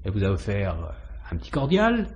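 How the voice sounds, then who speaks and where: An actor playing a methodical, insistent police inspector questioning a suspect in a 1950s French radio mystery style. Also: male voice, on the low side, huge pitch swings, fairly quick, muffled recording, background noise. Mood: probing. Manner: insistent, probing, authoritative questioning.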